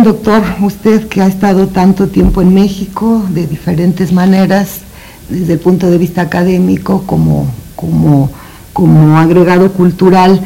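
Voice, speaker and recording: A woman hosting a formal interview, introducing her guest in measured tones and posing questions. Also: fairly low pitch, gravelly, mellow, husky, low-quality recording. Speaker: interviewer, host.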